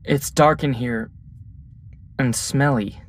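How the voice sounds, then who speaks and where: A woman's voice: extremely low pitch, steady tone, slow pace. An actress playing a hesitant, confused teenage boy full of questions in an audio sci-fi drama. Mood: uneasy, pleading. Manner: hesitant, unsure. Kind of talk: audio-fiction drama dialogue.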